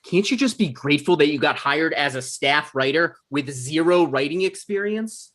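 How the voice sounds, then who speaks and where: Actor playing a flustered, eager young man, animated swings. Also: on the high side. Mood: exasperated. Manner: halting, stammering, flustered. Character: awkward, eager, put-upon young man seeking a TV job. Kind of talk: comedic script table read.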